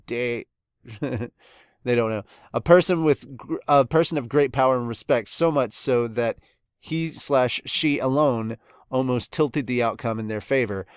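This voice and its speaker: A man with a mid-pitched voice hosts a casual manga review podcast. He talks like an enthusiastic fan, informal and exclamatory, moving between reading out comments and reacting to them. The sound is dry, with very little room in it. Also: clean tone, no room sound. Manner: animated, exclamatory, informal with mild swearing.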